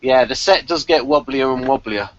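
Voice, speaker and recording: A man's voice: mid-range voice, normal speed, no echo, muffled recording. A man chatting casually as he co-hosts a review, with a wry, nitpicking, hesitant manner. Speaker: podcast co-host reviewing a TV episode.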